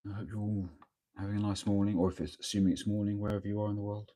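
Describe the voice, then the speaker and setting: A man with a croaky voice from a cold, chatting casually and hesitantly during a morning live stream of his painting, with lots of ums and restarts. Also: fairly low pitch, level tone, rapid-fire, dark tone. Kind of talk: casual live-stream chat while working. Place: home workspace, early morning. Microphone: microphone near the speaker.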